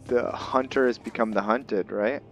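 A man giving casual roleplay gameplay commentary, thinking aloud in short, halting phrases as he plays. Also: mid-range voice, steady tone. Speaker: gaming video host, player. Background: game music.

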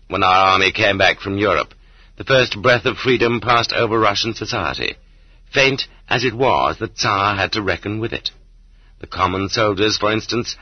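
A man reading a literary audiobook in an expressive, rhetorical manner. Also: on the low side, husky, no room sound. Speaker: audiobook narrator. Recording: slightly dull audiobook transfer from cassette.

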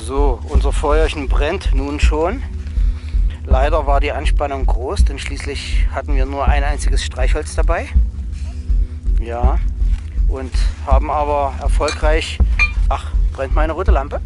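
A man's voice: medium pitch, steady tone, unhurried, music in the background, poor audio. A man narrating a casual outdoor vlog from behind a handheld camera, chatting with companions in playfully ornate, wry phrases. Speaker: vlogger narrating an outdoor hike. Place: outdoors in a snowy forest by a campfire. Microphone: handheld camera, speaker behind it.

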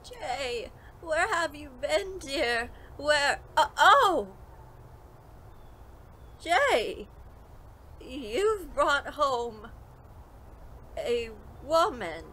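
A woman's voice: high voice, big pitch swings, very slow, pauses often, bright, forceful tone, slight background noise, exaggerated voice. A woman playing a possessive, shocked older mother with theatrical delivery in a radio drama. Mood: shocked, disapproving.

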